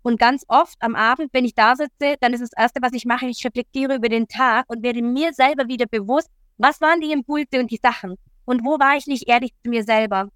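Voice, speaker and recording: A woman from Italy, a coach, talking as a guest in an earnest, lively way with many fillers. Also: a little high, a little fast, clean tone, no echo, clean studio sound. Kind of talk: interview talk.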